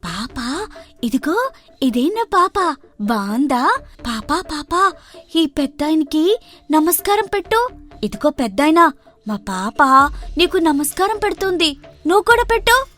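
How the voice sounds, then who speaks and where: A woman's voice: sits high, big pitch swings, unhurried, pauses often, fairly bright tone, voice pushed up, exaggerated voice. A woman voicing a bold, childish, simple-minded young village woman in a story, outspoken and sing-song. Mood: childlike.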